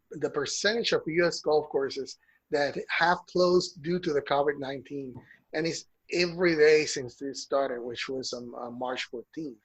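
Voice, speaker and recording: Husky man walking through a chart. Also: on the high side, fairly bright tone, rough recording. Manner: explains a chart step by step, with restarts. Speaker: co-host of a golf news show. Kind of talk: casual chat.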